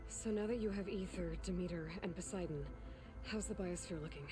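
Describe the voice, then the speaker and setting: A woman's voice: lowish voice, fairly quick, natural voice. A woman playing a determined young explorer in a video game, plain-spoken and earnest. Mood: focused. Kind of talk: naturalistic video game voice acting. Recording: game audio with music behind. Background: game music.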